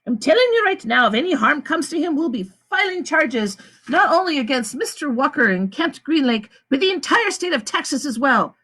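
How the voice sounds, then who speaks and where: A woman reading aloud, voicing a fierce, small female attorney who speaks loudly and sharply. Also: high-pitched, very animated, normal speed, few pauses, pitched above the performer's own voice. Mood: forceful. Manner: forceful, sharp and loud.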